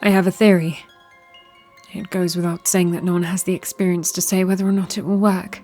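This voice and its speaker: A woman playing a resolute, war-weary young princess in a fantasy audio drama, measured, formal and earnest. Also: fairly low pitch, little pitch movement, a little mellow, soft background music, lightly acted. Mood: determined.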